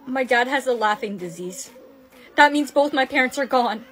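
A woman's voice: sits high, lively intonation, normal speed, fairly bright tone, soft background music. A woman playing a frightened schoolgirl heroine in a melodramatic, exaggerated skit style. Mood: earnest, worried. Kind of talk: short skit acting, melodramatic.

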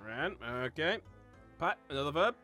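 A man hosting a casual word game with friends, chatting in an animated, jokey way. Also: a little high, moderate pace, soft background music. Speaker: host running a word game. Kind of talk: casual chat with friends in a group gaming session.

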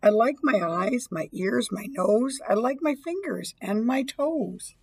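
A woman in her fifties with a fairly high, husky voice reads a children's storybook aloud. She delivers it as a teacher would when reading to young children. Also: expressive, unhurried, a little mellow. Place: small indoor school room or library.

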